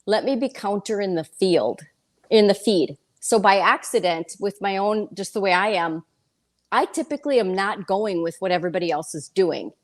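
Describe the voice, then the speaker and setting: A woman in her fifties with a medium-pitched voice. She speaks as a guest in a casual interview, candid and colloquial, often slipping quoted remarks into her storytelling. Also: normal speed. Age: 50s. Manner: animated storytelling, quotes herself and others, colloquial. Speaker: interview guest, real estate broker and speaker.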